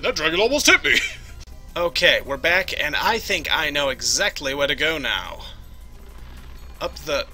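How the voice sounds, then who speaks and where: A man's voice: fairly high pitch, bright, forceful tone. A young man casually commenting on a game he plays, goofy and jokey, chatting to the characters on screen. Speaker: let's play host. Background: game music.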